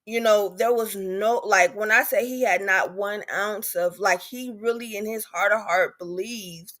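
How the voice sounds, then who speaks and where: A woman's voice: medium pitch, fairly even intonation, a little slow, a bit bright. A woman, speaking candidly and emphatically as a patient advocate in a panel discussion, repeating points for stress. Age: 30s.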